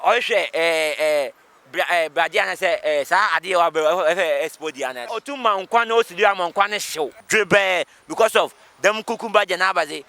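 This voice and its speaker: A Ghanaian man speaks in a very high register with a bright, sharp edge. He repeats himself for emphasis and switches between languages, like someone giving opinions on the street. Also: moderate pace. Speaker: member of the public interviewed. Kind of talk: animated street interview in code-switched speech.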